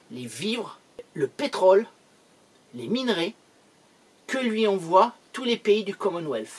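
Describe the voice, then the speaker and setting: Husky man narrating military history. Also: high voice. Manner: emphatic, declamatory.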